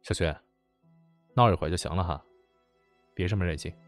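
A man's voice: deep voice, brisk, soft background music. An actor playing a doting, lovestruck young man in an audio drama, indulgent and emotional. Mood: gently coaxing.

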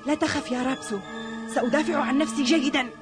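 Eager little boy kung fu pupil, very high, voiced by a woman. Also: fairly even intonation, quick pace, over music, lightly acted. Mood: wondering. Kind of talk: Arabic cartoon dub.

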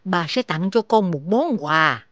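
A woman's voice: on the low side, animated, unhurried, a bit bright, dry recording. A woman voicing a sweet-talking elderly grandmother in a children's cartoon, coaxing, with a southern Vietnamese accent. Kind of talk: animated children's cartoon dubbing.

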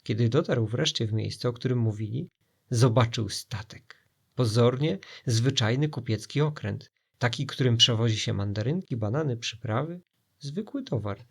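Gravelly male storyteller, suspenseful delivery. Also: medium pitch, lively intonation. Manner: suspenseful, dramatic pauses. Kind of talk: expressive scripted narration for children.

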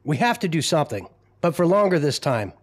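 A man's voice: on the high side, very animated, a little fast. A man playing a determined group leader in a sci-fi audio drama, urgently rallying the others. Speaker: voice actor.

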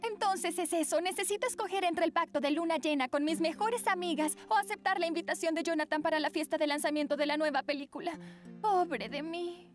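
A woman's voice: extremely high pitch, a little fast. A woman voicing a sweet, indecisive teenage girl in a children's cartoon, hesitant and melodramatic, with a Latin American accent. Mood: torn, distressed. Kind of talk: dubbed children's cartoon acting. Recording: light music behind the voice.

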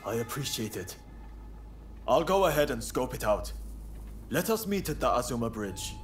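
A man's voice: medium pitch, sing-song, normal speed, over music, close to an everyday voice. A man playing a zealous, calculating samurai plotter in a video game, businesslike and intent. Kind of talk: dramatic video game voice acting. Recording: clear ambient noise behind.

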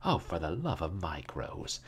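A man voicing a brusque, excitable theatrical magnate in a storybook, terse and halting over old writing. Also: deep voice, slightly rough, heavily acted. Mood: irritated.

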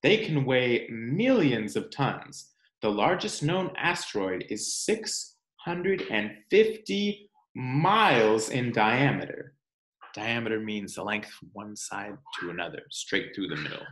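A man in his thirties reading a children's picture book aloud in a deliberate, explanatory way, with dramatic emphasis on key words. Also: medium pitch, expressive, fairly bright tone. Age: 30s. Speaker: read-aloud presenter. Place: small room at home, indoors. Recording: roomy home recording.

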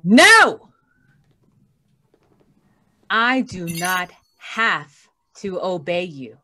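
Defiant robot for radio play, female actor: very slow, lowish, halting, emphatic. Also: very animated, pushed and bright, full character voice. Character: defiant rebellious robot refusing human orders. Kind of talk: old-time radio drama acting. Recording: noisy with clicks.

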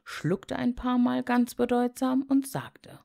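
A woman reading a scripted fairy tale aloud as a storyteller, in an expressive, measured way. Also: fairly high pitch, gravelly, no echo, clean studio sound.

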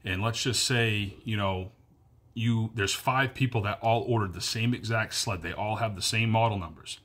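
A fairly low-voiced man in his thirties speaks straight into a phone camera held close. He talks earnestly and repeats key points for emphasis, with plenty of fillers along the way. The sound is close and informal, recorded indoors in a wood-paneled room. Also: moderate pace, fairly bright tone. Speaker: powersports dealer staff. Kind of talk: direct-to-camera business update. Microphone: phone camera at arm's length.

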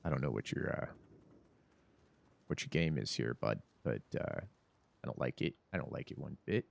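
A man's voice: very deep voice, animated, moderate pace, vocal fry. A man in his thirties giving rambling, self-mocking commentary while streaming a horror game from his home setup. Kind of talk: casual gameplay commentary.

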